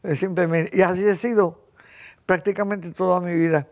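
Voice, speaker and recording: A Cuban woman in her eighties hosting a conversation, talking in an impassioned, rambling way and often clearing her throat. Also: fairly low pitch, fairly even intonation, normal speed, a little mellow. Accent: Cuban Spanish. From Cuba. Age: 80s. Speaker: host. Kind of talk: casual conversation.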